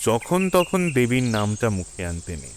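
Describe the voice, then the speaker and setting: A man's voice: mid-range voice, big pitch swings, unhurried, a little hiss or hum. An actor playing a cautious, respectful young village man in an audio drama, speaking in a somewhat hesitant way. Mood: warning, reverent. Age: young adult.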